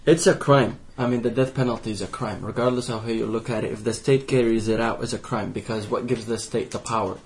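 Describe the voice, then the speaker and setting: A man's voice: lowish voice, fairly even intonation, fast talker, few pauses, darkish tone, slight background noise. Impassioned man from Iraq on a panel. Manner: impassioned, restarting and repeating himself. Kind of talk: panel discussion.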